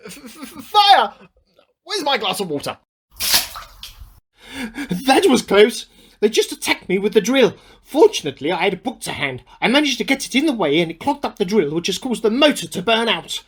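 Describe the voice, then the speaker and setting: A man's voice: sits high, very animated, normal speed, a bit bright, a little hiss or hum. A man playing an agitated, paranoid amateur scientist in a radio play diary, with melodramatic delivery. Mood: alarmed, rattled. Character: paranoid male amateur scientist at war with hamsters.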